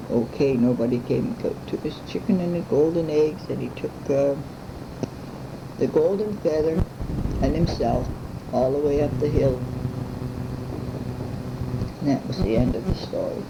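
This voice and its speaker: An elderly woman tells folk tales as a grandmother would at bedtime, in plain homespun phrases. Her voice is gravelly with creak, and the home recording has clear background noise. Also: rumbling low. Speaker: grandmother storyteller. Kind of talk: informal oral bedtime storytelling. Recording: noisy amateur home recording.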